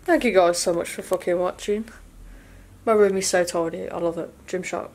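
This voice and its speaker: A woman's voice: lowish voice, fairly even intonation, normal speed, a little mellow. Sweary young woman vlogging to camera. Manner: sweary, rambling, slangy. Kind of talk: casual vlog chat. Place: hotel room, indoors. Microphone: phone or camera at arm's length.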